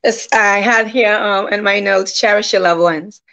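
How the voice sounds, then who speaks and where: A woman's voice: mid-range voice, steady tone, normal speed, gravelly, fairly bright tone. A woman speaking earnestly as a guest in a conversational online panel, on dull lo-fi call audio.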